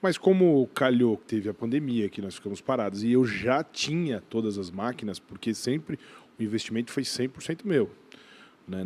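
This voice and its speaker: Brazilian man, halting conversational delivery. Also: medium pitch, darkish tone. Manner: halting, repeats words, frequent tag questions. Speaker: podcast guest, gym brand owner. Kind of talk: casual podcast interview.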